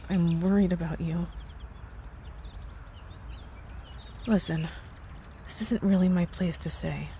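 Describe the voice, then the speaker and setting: A woman's voice: lowish voice, warm tone, slight background noise. A woman playing a concerned coworker in an ASMR roleplay, speaking earnestly, hesitantly and with care. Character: worried, caring married female coworker, hesitant and earnest. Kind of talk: ASMR roleplay audio drama.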